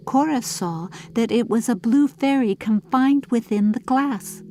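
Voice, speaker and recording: A woman narrating a scripted storybook audiobook in a deliberate, dramatic storytelling manner. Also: on the high side, mellow, slight background noise.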